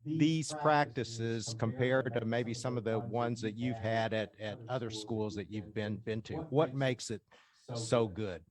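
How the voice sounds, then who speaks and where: A man's voice: medium pitch, fairly even intonation, a little slow. A man, a reporter, asking a probing question at a press conference. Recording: crowd chatter behind.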